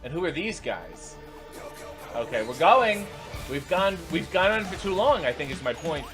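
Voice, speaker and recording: A man's voice: on the high side, big pitch swings, normal speed, over music. A man hosting a reaction video, giving unscripted running commentary in an exasperated, sarcastic, incredulous way.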